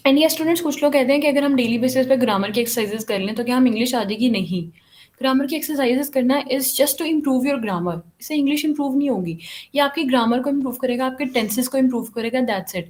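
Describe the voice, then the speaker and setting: A woman's voice: on the high side, a little mellow. A woman giving an online English class, mixing Urdu and English with frequent fillers. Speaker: English teacher.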